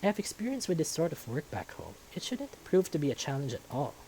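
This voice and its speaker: A man voicing a polite, composed lord who is new at a fantasy court, speaking calmly and courteously. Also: on the high side, fast talker, soft background music, pitched above the performer's own voice, rough recording. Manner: courteous, calm.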